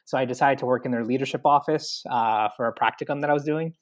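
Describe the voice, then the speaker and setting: A man's voice: medium pitch, quick pace. A man recounts his career moves in a loose, chatty way, leaning on likes and you-knows as he goes. His voice sits in an ordinary middle range. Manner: chatty, many likes and fillers, acts out his own thoughts. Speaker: guest, university staff. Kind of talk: conversational interview, telling his career path.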